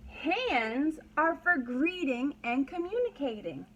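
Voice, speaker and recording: A woman with a fairly high voice teaches a read-aloud lesson to very young children. She speaks slowly, repeats simple phrases and checks in with short questions. There is clear background noise on the recording. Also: very animated, fairly bright tone, muffled recording. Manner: instructional, simple phrases with repetition and prompting questions. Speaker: pre-kindergarten teacher.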